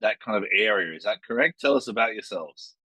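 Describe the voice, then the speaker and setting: Brief: male host, low husky voice, bright and forceful, dry close sound. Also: animated, brisk, no room sound, poor audio. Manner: upbeat and chatty. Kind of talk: casual interview.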